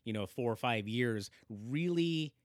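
A man's voice: mid-range voice, animated, measured pace, no echo, clean studio sound. A man in his forties, born in the Philippines, speaking earnestly as a guest in a conversational interview, with emphatic agreements and frequent fillers. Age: 40s.

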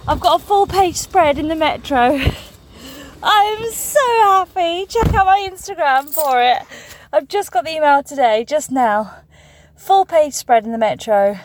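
A middle-aged woman, a comedian chatting casually to camera on her tour vlog, excitable and gushing. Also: high voice, a little slow. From England.

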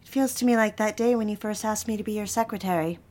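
A woman's voice: mid-range voice, quick pace, close to an everyday voice. An actress voicing an earnest, ambitious young female secretary with wounded feelings in an audio drama. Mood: hesitant, hurt. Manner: expressive, earnest. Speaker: actress narrating and playing lead. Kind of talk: dramatized first-person narration and dialogue.

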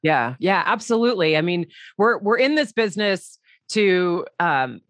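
A woman hosting an interview in an informal, halting conversational style. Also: on the low side, sing-song, fairly bright tone, no room sound. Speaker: host, interviewer.

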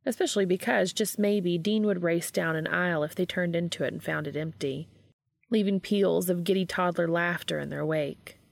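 A woman's voice: on the low side, normal speed, creaky, no echo. A woman reading a story aloud as scripted narration. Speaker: audiobook reader of fan fiction.

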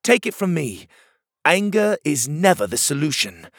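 A man's voice: a little high, very animated, a little slow, fairly bright tone, no echo, clean studio sound, voice pushed up, heavily acted. A man voicing a wise, earnest turtle hero who gives advice in a children's storybook.

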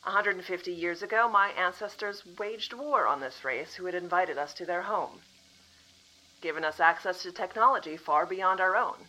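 A woman acting a composed female official from the future in an audio drama, calmly apologetic and matter-of-fact. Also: on the low side, normal speed, rough recording.

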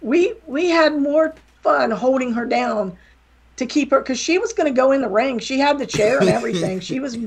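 Chatty West Virginia woman with a gravelly edge. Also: high-pitched, lively intonation, normal speed, a crowd in the background. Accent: slight Southern, West Virginia. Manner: rambling, self-deprecating, laughs often. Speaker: podcast guest. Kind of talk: casual interview chat.

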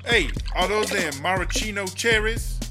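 A man's voice: high-pitched, moderate pace, music in the background, close to an everyday voice. A man playing a swaggering, sassy female American inmate in a comedy audio drama, drawling and boastful. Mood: delighted. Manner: drawling, boastful, third-person self-references.

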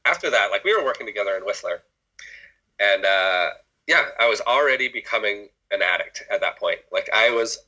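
A man's voice: on the low side, animated, fairly quick, pushed and bright, rough recording. A middle-aged man, a former ski coach, talking openly and emotionally in a personal conversation, swearing freely and often restarting his sentences.

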